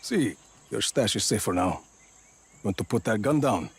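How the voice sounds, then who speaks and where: A man's voice: fairly low pitch, animated, moderate pace, breathy edge, heavily acted. A man playing a confident, cool-headed rebel leader in a video game, speaking tersely. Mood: cool, wry. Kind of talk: video game dialogue acting.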